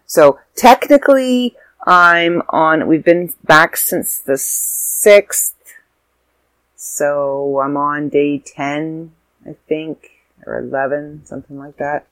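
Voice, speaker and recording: A young woman vlogging casually from home, rambling and sidetracking, a little stuffy and hoarse with a sore throat and tired. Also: deep voice, takes it slowly, no echo, low-quality recording. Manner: rambling, self-interrupting. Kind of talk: casual chat to camera.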